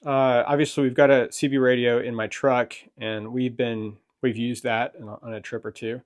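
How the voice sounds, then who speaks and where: A man's voice: mid-range voice, little pitch movement, moderate pace. Man asking questions and summing up. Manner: asks questions and sums up in plain terms. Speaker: host, parent co-presenter. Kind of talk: casual chat.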